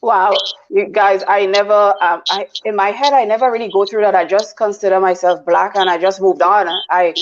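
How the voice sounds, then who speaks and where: A woman's voice: mid-range voice, steady tone, normal speed, hardly any pauses. A woman from the Caribbean chatting candidly and easily as a panelist, over a muffled online stream. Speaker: panelist on a live talk show. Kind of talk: casual chat.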